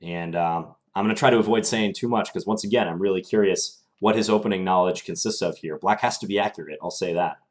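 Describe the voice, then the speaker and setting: Low male voice, young adult, live chess stream commentary, casual thinking aloud, slightly strained. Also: fast, hardly any pauses. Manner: relaxed, thinking aloud with many fillers.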